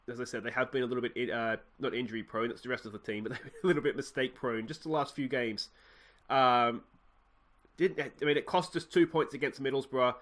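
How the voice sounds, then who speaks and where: An Australian man with a slightly nasal voice from a cold, casually talking through his gameplay, rambling and often correcting himself. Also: medium pitch. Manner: rambling, self-correcting, excitable at goals. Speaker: let's play host.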